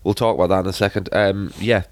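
A man with a very low voice, congested and sniffing from hay fever. He rambles through casual podcast chat, full of fillers and restarts. The sound is dry and close. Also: steady tone, fast, a little mellow, dry recording. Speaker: podcast co-host.

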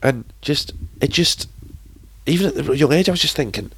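A man's voice: on the high side, expressive, normal speed, fairly bright tone, slight background noise. A man co-hosting a podcast, casually discussing films in an emphatic, baffled way. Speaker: podcast co-host.

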